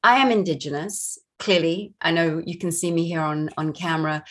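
Husky low-voiced woman from Barbados. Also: normal speed. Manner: earnest, reflective, spiritual. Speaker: film director, guest. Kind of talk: interview conversation.

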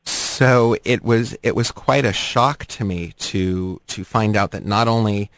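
Male host, low voice, measured probing questions, dry close sound. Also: a bit bright, dry recording. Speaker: interviewer, host. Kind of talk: radio interview.

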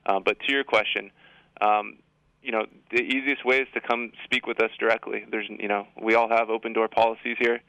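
Low, even, gravelly male interview guest. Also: brisk, no echo, poor audio. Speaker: high school assistant principal, guest. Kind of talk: informal interview answers.

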